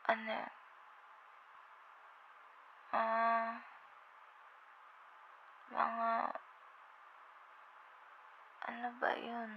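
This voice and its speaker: A woman playing a sleepy, affectionate girlfriend in an intimate ASMR roleplay, with a Filipino accent and a drowsy, halting, tender murmur. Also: on the high side, monotone, full character voice. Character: sleepy, affectionate young girlfriend on a late-night call. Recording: muffled, with clear background noise.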